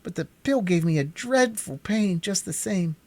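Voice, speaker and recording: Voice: mid-range voice, expressive, darkish tone, no room sound, pitched above the performer's own voice, natural voice. A reader voicing a sulky, impatient young boy in a storybook. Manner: impatient, quick to complain.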